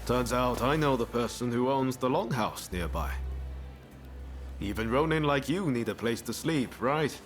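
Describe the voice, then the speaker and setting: An actor plays a friendly samurai-era sidekick in a game cutscene. The man's delivery is animated and casual, helpful with a teasing streak. Music plays softly underneath. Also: mid-range voice, a little slow, close to an everyday voice. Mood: friendly, upbeat. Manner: casual, chummy. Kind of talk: video game cutscene acting.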